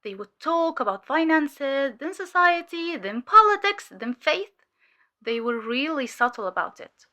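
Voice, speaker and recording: Female infiltrator played by an actress for audio drama: highish, crisp-edged voice, measured and hesitant testimony, weary but sharp. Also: very animated, exaggerated voice. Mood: matter-of-fact.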